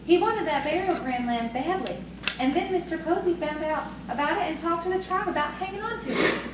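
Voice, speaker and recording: A woman's voice: very high-pitched, a little fast. A woman playing a coy, proud young farmer's daughter in audio theatre, with an earnest storytelling manner. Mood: confiding. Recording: distant, very echoey, noisy stage recording.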